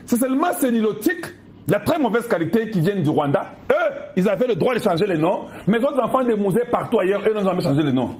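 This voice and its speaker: Emphatic Congolese man with a lively voice. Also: high-pitched, fast talker. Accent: Congolese French. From Democratic Republic of the Congo. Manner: emphatic, with pointed rhetorical questions. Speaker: political commentator. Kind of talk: impassioned monologue addressing viewers.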